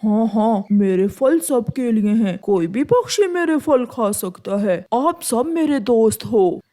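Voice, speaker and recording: A woman's voice: fairly high pitch, expressive, slow pace, warm tone. A woman voicing a gullible male fruit tree in a children's cartoon, simple, trusting and eager. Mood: friendly. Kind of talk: Hindi children's cartoon voice acting.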